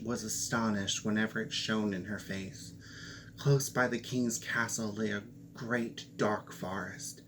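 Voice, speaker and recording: A man reading a fairy tale aloud, slow and expressive, with playful asides. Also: medium pitch, a little hiss or hum, low-quality recording. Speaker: storyteller.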